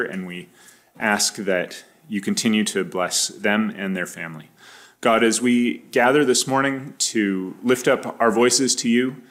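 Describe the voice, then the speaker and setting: A man leading a congregation in prayer, speaking haltingly and often repeating words. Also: on the low side, fairly bright tone. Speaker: prayer leader. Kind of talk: pastoral prayer.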